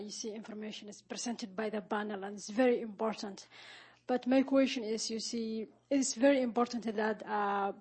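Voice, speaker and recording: A woman's voice: a little high, a little mellow, breathy edge. A woman from Somalia, asking an earnest question from the audience at a panel event and often saying "you see". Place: conference hall, handheld mic.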